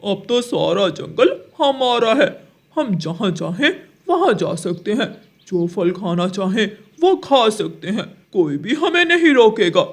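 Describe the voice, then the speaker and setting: A man's voice: sits high, sing-song, unhurried, few pauses, full character voice. A man playing a scheming, emphatic cartoon crow. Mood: gloating. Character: scheming, gloating married male crow. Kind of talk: Hindi children's cartoon dialogue.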